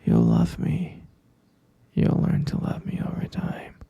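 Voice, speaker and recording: A man playing an obsessive, lovesick kidnapper boyfriend in an ASMR roleplay, coaxing tenderly and possessively in a breathy, husky whisper. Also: warm tone, no echo, highs cut off, close to an everyday voice. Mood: soothing, possessive. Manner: slow, coaxing, cooing with pet names.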